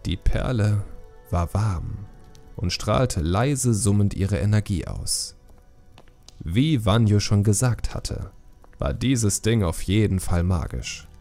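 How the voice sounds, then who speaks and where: A man's voice: fairly low pitch, animated, slow, warm tone, soft background music. A man reading a scripted fantasy story as an audiobook narrator.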